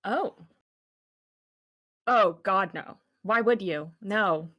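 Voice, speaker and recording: A woman's voice: mid-range voice, extremely slow, a bit bright. A woman playing an easygoing, teasing college student with a casual delivery in a table read. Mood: teasing disbelief. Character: easygoing, teasing young female college crush. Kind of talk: table read of a pilot script.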